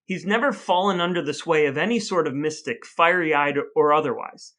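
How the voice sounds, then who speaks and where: A man's voice: fairly high pitch, moderate pace, bright, forceful tone. A man co-hosting a chatty podcast in a playfully mock-formal way. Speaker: podcast co-host.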